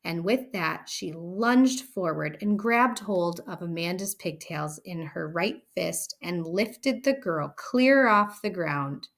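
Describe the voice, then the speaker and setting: A woman reading a children's story aloud as expressive scripted narration. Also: fairly low pitch, slow pace, few pauses. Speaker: storybook reader.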